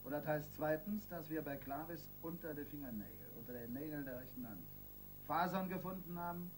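An actor playing a hard, sarcastic police detective who presses a suspect in a radio crime play. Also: male voice, fairly high pitch, fairly quick, noisy background, natural voice. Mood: pressing.

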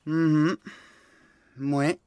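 An actor in a French children's cartoon dub voicing an easygoing, obliging and expressive farm helper. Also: mid-range voice, drawn-out words, clean tone, dry recording. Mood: helpful.

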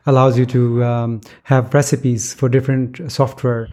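Flat, mellow man from Pakistan. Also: medium pitch, unhurried. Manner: hesitant, many ums and uhs, flat delivery. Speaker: guest, software developer. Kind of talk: casual chat. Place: small office room indoors.

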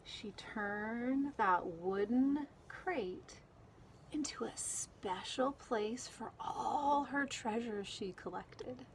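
A woman reading a children's picture book aloud outdoors in a gentle, deliberate way, pausing between phrases. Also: fairly high pitch. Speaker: story reader. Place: outdoors, garden. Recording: clear outdoor background noise.

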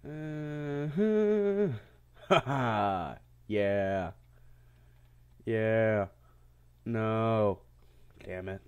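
Congested man yelling over a game. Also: fairly low pitch, drawn-out words, long pauses, smooth, highs cut off. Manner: laughs often, yells at failures. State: congested, stuffy-sounding. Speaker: gameplay commentator. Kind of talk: casual let's-play commentary.

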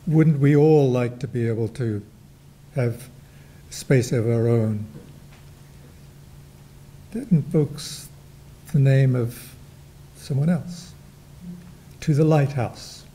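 Slow, dark-voiced New Zealand man. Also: medium pitch, a little hiss or hum. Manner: slow, reflective, with dry humor and fillers. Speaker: panel moderator and artist. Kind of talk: panel discussion remarks. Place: gallery room with a panel table.

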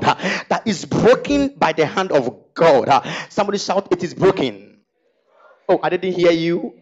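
Lively call-and-response preacher. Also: male voice, high-pitched, muffled recording. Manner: call-and-response preaching, rising to loud exhortation. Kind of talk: sermon. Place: large stage hall with podium. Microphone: handheld mic.

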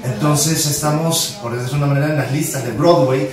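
A man's voice: fairly high pitch, fairly even intonation, fairly quick, a crowd in the background. A man in his thirties from Ecuador, an actor talking earnestly in an interview, listing points and repeating words as he goes. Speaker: musical theatre actor. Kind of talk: casual interview chat. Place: office room with glass wall, seated on chairs. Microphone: far camera mic. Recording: very echoey room from far off.

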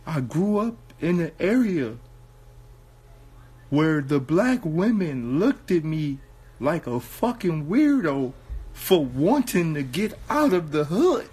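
A hoarse man talks into a phone held at arm's length in a small indoor room. His voice sits fairly high and swings widely in pitch, with a husky edge. He speaks slowly and earnestly, repeating his points. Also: sing-song, dark tone. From Watts, California. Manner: earnest, repetitive, building to raised voice. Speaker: vlogger. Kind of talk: casual selfie monologue.

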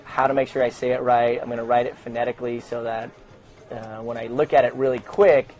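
A man's voice: mid-range voice, flat delivery, a little fast, a little mellow. Middle-aged American man, conversational storyteller. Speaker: sports public address announcer being interviewed. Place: open indoor lobby studio at a desk.

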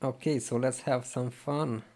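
A man's voice: mid-range voice, steady tone, a little slow, darkish tone. A man giving casual, laid-back game commentary in short reactions. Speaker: gamer commentating on gameplay.

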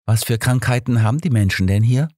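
Man voicing curious young woman, raised pitch. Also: a little fast, darkish tone, husky, dry recording, clean studio sound, natural voice. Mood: motherly concern. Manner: earnest, questioning, polite. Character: curious, naive, well-mannered young woman, the detective's companion.

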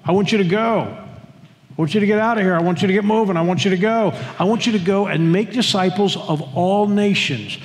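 Older male pastor, higher voice, earnest repetitive sermon delivery, long church-hall reverb. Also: brisk. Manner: earnest, repeats words and phrases, leads congregation reading. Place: church sanctuary.

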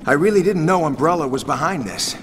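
An actor playing a brash but protective young mercenary soldier in a video game cutscene. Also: male voice, a little high, lively intonation, a little fast, close to an everyday voice. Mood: urgent. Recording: noisy ambient background.

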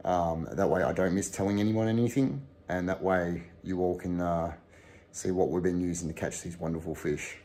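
A man in his thirties with an Australian accent, chatting casually to camera about fishing lures, relaxed with frequent fillers. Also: low-pitched, normal speed. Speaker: fishing vlogger. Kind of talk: casual explanatory talk to camera. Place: indoors by a garage door.

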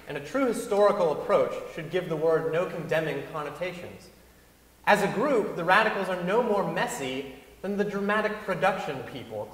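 A man giving a deliberate, emphatic dramatic reading of an essay on stage. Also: fairly high pitch, a bit bright. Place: large concert hall stage, before an audience. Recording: echoey concert hall.

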